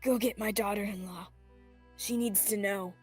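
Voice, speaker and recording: A woman's voice: medium pitch, fairly even intonation, close to an everyday voice. A young woman playing a mournful, self-blaming small-town sheriff in a student radio play. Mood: shocked, curt. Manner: mournful, slow, sighing. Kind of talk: student radio-theatre acting. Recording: dry, music underneath.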